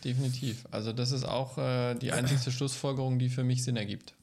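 Gravelly man chatting easily. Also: medium pitch, brisk. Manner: relaxed, rambling storyteller. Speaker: podcast co-host. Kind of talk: casual chat.

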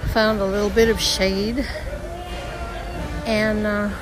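A woman hosting a podcast, telling a story in a casual, chatty way, her voice hoarse and her throat tight. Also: mid-range voice, level tone, slow, low-quality recording. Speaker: podcast host. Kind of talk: casual theme-park audio diary. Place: outdoors on a theme park street. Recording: outdoor park recording with music and crowd. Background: band music and crowd.

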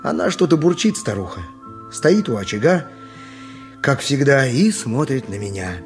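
Actor as a poor, harried donkey driver, animated delivery. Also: male voice, medium pitch, normal speed, soft background music, lightly acted. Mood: weary, resigned. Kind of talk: 1980s radio play acting, dialogue and first-person narration.

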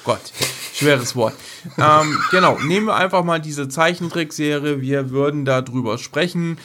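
A man's voice: a little high, unhurried, noisy background. A man co-hosting an unscripted podcast, chatting informally in colloquial speech sprinkled with English slang. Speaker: podcast co-host. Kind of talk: casual unscripted podcast chat.

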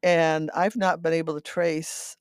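Elderly woman reminiscing, halting and dry-recorded. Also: deep voice, flat delivery, darkish tone, clean tone, no echo. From Netherlands. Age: 80s. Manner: rambling, halting, often repeats words and seeks agreement with "right?". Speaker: interview guest telling her life story. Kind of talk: reminiscing, conversational storytelling.

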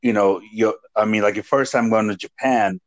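Guy hosting a chat, lowish voice, lots of you knows, dry sound. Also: fairly even intonation, moderate pace, no room sound. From Nigeria. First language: Igbo. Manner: hesitant, filler-heavy, encouraging. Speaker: podcast host. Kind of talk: casual interview chat.

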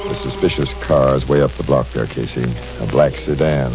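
Gruff homicide captain, dry humor, deep voice, muffled old radio recording. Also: male voice, steady tone, a little fast. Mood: tense. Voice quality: gruff, dry, matter-of-fact. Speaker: police captain. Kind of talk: 1940s radio acting. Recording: dull old radio recording, faint music.

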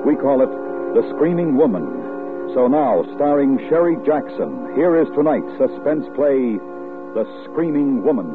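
A man's voice: medium pitch, sing-song, measured pace. A man announcing a radio drama in a theatrical, portentous 1950s style. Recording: tinny old radio broadcast, loud music.

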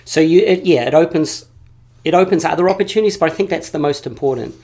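A man's voice: fairly high pitch, fast talker, a little mellow. A man guest in an interview, talking earnestly and reflectively, often repeating phrases as he builds a point. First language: English.

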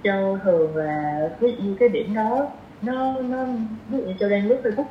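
A woman's voice: medium pitch, a little fast. Young southern viet woman chatting on a podcast, kinda hesitant, roomy echo, bit muffled. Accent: southern Vietnamese. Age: 20s. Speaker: podcast guest, young doctor. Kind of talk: casual chat. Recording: roomy echo, dull sound, light noise.

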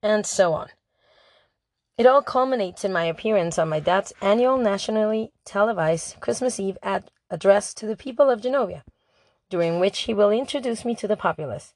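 A woman's voice: medium pitch, expressive, normal speed, a little mellow, no echo, close to an everyday voice. A woman reading a storybook diary aloud as a sarcastic, put-upon teenage princess. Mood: sarcastic, nervous. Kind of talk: amateur audiobook reading of a first-person diary.

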